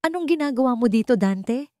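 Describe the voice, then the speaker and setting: A woman's voice: on the high side, expressive, a little fast, mellow, no echo, pitched above the performer's own voice, close to an everyday voice. A defiant young woman played by a female storyteller confronts others with indignation, fiercely protective of her family. It is dramatic audio storytelling with a dry, roomless sound. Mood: angry.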